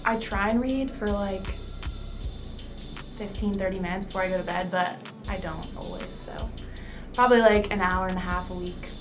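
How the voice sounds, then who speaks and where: A woman of twenty, a student, answering interview questions casually with fillers and a soft laugh. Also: mid-range voice, normal speed, music in the background. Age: 20s.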